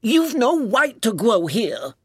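A man voicing a small, sulky, complaining dormouse in a storybook, with drawn-out speech. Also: extremely high pitch, sing-song, a bit bright, slightly rough, no echo, clean studio sound, pitched above the performer's own voice, full character voice.

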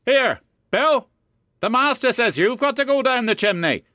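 A narrator voicing a brisk male animal workman in a storybook, handing out orders in rustic speech. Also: medium pitch, lively intonation, pushed and bright, no room sound, studio quality, voice pushed up. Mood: brisk, bossy. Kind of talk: storybook character voice.

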